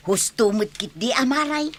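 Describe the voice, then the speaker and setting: A woman acting a chatty, eager neighbourhood lunch seller in an Ilocano radio comedy drama, animated and exclaiming. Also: fairly high pitch, a little hiss or hum.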